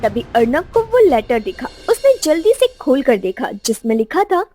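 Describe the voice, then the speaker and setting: A woman's voice: high voice, sing-song, moderate pace, dark tone, faint music behind. A woman narrating a scripted romance story in a dramatic style.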